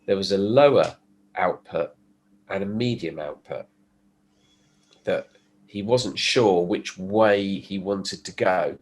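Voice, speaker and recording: A man with a stuffy cold, explaining his work in a relaxed podcast chat with fillers and restarts. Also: deep voice, takes it slowly, pauses often, breathy edge. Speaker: guest, pickup designer. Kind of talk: casual podcast conversation.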